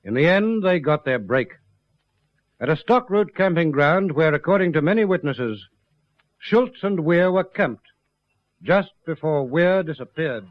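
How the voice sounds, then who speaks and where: A male narrator of a vintage radio crime series, his voice a little high. He reads formally, with grave, measured emphasis. The sound is dry and muffled. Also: animated, no room sound. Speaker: narrator of a police drama series. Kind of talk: formal scripted 1950s-style radio narration.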